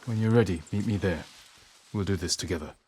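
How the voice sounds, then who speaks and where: An actor playing a stoic, resolute noble samurai lord in a dramatic video game. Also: male voice, low voice, fairly quick, a little mellow, husky. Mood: determined.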